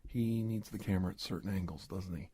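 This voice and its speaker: A man playing an earnest, hesitant secret-agent doctor in a soft-spoken ASMR roleplay, halting, confiding and gently coaxing. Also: sits low, a little hiss or hum, lightly acted. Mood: hushed, confiding.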